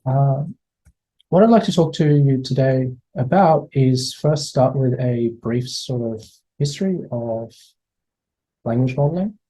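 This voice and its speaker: A man's voice: mid-range voice, a little slow, mellow, slightly rough, poor audio. Hesitant Australian man lecturing. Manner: hesitant, repeats words, many fillers. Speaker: guest speaker. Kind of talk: online lecture. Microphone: video call.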